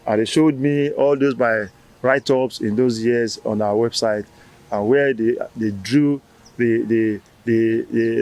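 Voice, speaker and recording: A man's voice: medium pitch, darkish tone, rough recording. A man with a Nigerian accent, a festival founder giving an interview answer, with many fillers and restarts.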